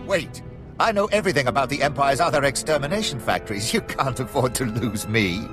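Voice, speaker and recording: A man's voice: medium pitch, lively intonation, brisk, lightly acted. A man playing a cruel, arrogant Imperial commander in a video game, haughty and menacing in his declamation. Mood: cornered, haughty. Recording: music behind the voice.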